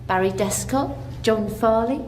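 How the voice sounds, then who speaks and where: A woman's voice: a little high, a little slow, a little mellow, slight background noise, close to an everyday voice. A woman acting in a radio drama as a junior police detective with a brief, businesslike manner. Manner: brief, efficient.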